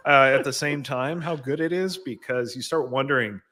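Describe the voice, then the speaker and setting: A man's voice: medium pitch, normal speed, bright, forceful tone. An American man hosting a casual live business show, chatting and introducing a guest. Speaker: show host.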